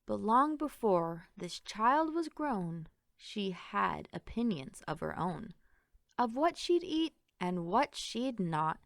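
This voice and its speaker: A woman reading a rhyming children's story aloud in an expressive, sing-song way. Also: medium pitch, slow, creaky, dry recording, clean studio sound. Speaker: picture book reader.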